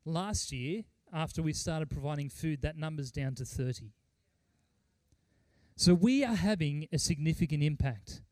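A middle-aged man preaching as a pastor, speaking earnestly and emphatically, with deliberate pauses and repeated phrases. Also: fairly high pitch, lively intonation, a little mellow, no room sound. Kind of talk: sermon with mission report and scripture reading.

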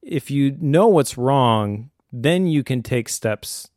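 A middle-aged man talking conversationally as a host, giving earnest, encouraging advice in a measured way. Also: medium pitch, expressive, a little mellow, dry recording, studio quality. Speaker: podcast host, homeschooling father.

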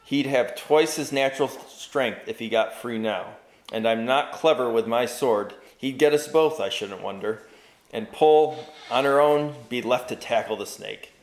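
A man's voice: medium pitch, unhurried, echoey room, natural voice. A man voicing a gloomy, cautious marsh creature, with a glum and matter-of-fact delivery.

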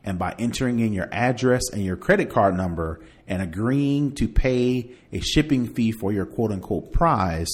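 A man's voice: fairly low pitch, normal speed, hardly any pauses. A man hosting a podcast, chatting casually through tech news and partly reading aloud, in a conversational way. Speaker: podcast host.

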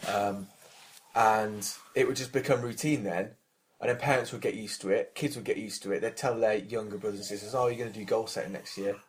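A man's voice: lowish voice, steady tone, brisk, poor audio. A British man co-hosting a podcast in casual chat, rambling with many restarts and fillers. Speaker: podcast co-host.

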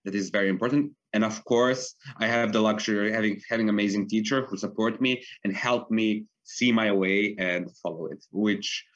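A man's voice: on the low side, fairly even intonation, moderate pace, hardly any pauses. A young man from Serbia, a pianist, talking earnestly in a casual interview and often saying "like". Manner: earnest, frequent fillers like "like". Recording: dull lo-fi recording.

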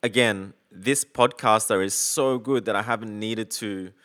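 A man's voice: on the low side, measured pace, fairly bright tone. A man chatting casually on a call about recording gear, rambling with lots of fillers and the odd swear. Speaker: podcaster on a video call.

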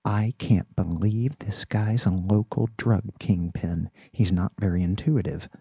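A man's voice: on the low side, a little slow, warm tone, slightly rough, no room sound, clean studio sound. A man voicing an eager, naive young male college student in an audiobook, chatting in casual slang. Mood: smug, scheming. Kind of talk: audiobook character dialogue.

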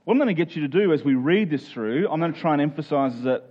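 A man's voice: on the high side, lively intonation, fast talker. A man preaching in an informal, conversational way, as a pastor speaking to his church. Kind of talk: conversational sermon with everyday illustrations, prayer and scripture reading. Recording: dull, lo-fi sermon recording.

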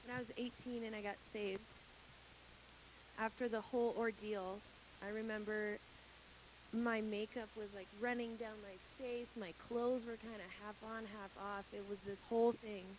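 A woman's voice: mid-range voice, flat delivery, moderate pace, poor audio. Earnest young woman, hissy recording. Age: 20s. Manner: candid and earnest, laughs at her own slips. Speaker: church member sharing her testimony. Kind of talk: personal testimony to a congregation. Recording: hissy background noise.

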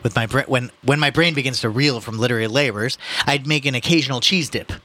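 Man putting on a haughty, pompous high-pitched gentleman. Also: fast. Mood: condescending. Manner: haughty, upper-crust, grandiose. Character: pompous, haughty, condescending thirty-year-old man of letters. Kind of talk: audiobook-style novel reading.

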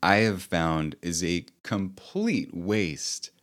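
Deep-voiced male author-host, soft-spoken and thoughtful, speaks slowly with pauses. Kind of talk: reflective personal talk.

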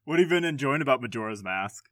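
Average pitched guy, dry audio, casually asking stuff on a gaming podcast. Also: expressive, quick pace, a bit bright, no echo. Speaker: video game podcast panelist. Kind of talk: casual chat, asking questions.

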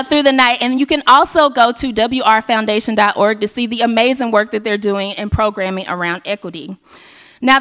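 A hoarse woman from Arkansas, hosting a live event on a stage mic and rallying the audience with call and response. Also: a little high. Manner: energetic, rallying the crowd. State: hoarse, losing her voice. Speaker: event emcee. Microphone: handheld stage mic. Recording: live hall with faint audience.

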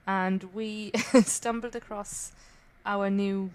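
A woman's voice: mid-range voice, flat delivery, slow. Chatty British woman, laughing podcast host. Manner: chatty, laughs often, self-deprecating. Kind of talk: casual podcast chat.

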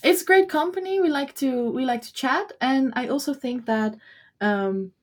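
Bubbly woman podcast co-host. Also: sits high. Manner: bubbly, encouraging, quick to laugh. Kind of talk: casual chat.

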